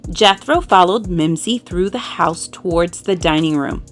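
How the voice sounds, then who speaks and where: A woman reading a children's story aloud in an expressive way, drawing out words for dramatic emphasis. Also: lowish voice, unhurried, soft background music. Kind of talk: expressive read-aloud narration for young children.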